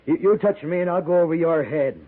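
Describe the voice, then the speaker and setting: A man's voice: fairly high pitch, fairly quick, lightly acted. An American man playing an insolent, cowardly army officer in 1950s radio drama, blustering with folksy grammar. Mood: nervous bluster. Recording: noisy, narrow-band 1950s radio recording.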